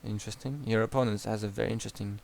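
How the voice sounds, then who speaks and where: A man's voice: on the low side, steady tone, racing, vocal fry, a little mellow. A young man with a Croatian accent, bluntly coaching a player through a game replay with casual swearing. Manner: blunt and profane, frequent restarts. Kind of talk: replay analysis commentary.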